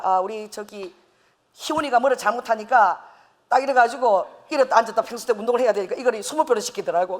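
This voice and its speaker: Animated, laughing woman preacher. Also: a little high. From Busan, South Korea. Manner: animated storytelling, laughing often. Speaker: pastor. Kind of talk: sermon.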